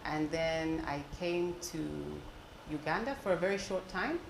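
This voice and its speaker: A woman in her forties from England speaks earnestly as a guest, leading a prayer in a very low voice. Her voice carries a roomy echo with clear background noise around it. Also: a little slow. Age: 40s. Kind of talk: interview and spoken prayer.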